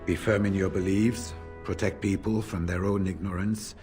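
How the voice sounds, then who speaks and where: Man playing a deep-voiced seasoned veteran warrior. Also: fairly even intonation, normal speed, natural voice. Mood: reflective. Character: seasoned, plain-spoken veteran warrior of a warden order. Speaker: game voice actor. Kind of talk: fantasy RPG dialogue. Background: game music.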